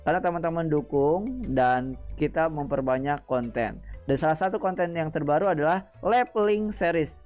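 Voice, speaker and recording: A man's voice: fairly high pitch, moderate pace, darkish tone, soft background music. Chatty man hosting a game channel. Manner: chatty, repetitive, frequent hesitant fillers. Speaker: gaming channel host. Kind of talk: casual explainer chat to viewers.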